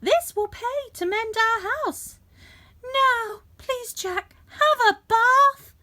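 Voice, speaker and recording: A woman voicing a fussy, excitable mother in a storybook, shrill and exclaiming. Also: takes it slowly, full character voice. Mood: excited.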